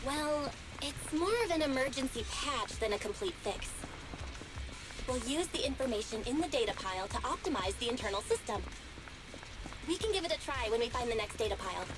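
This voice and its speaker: A woman's voice: sits high, animated, brisk, over music. A woman playing a helpful young female hacker guide in a video game, eager and technical. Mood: confident.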